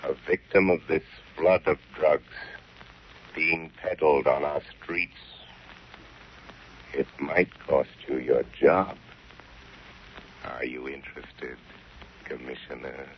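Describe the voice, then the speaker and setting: Mocking phantom vigilante, American, extremely low voice, dragging menacing delivery, 1930s radio thriller. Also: male voice, slight background noise. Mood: menacing, taunting. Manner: slow, menacing, deliberate. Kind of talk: 1930s radio mystery acting. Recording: dull old 1930s radio recording.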